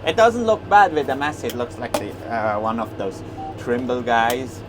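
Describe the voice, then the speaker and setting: A man whose native tongue is Swiss German, talking casually in a rambling, halting way with many fillers as he mows in a tractor cab, on a clip-on mic. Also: medium pitch. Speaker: farmer vlogger. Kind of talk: casual talk while mowing in a tractor. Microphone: wireless clip-on mic. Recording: clear engine noise behind voice. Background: tractor engine noise.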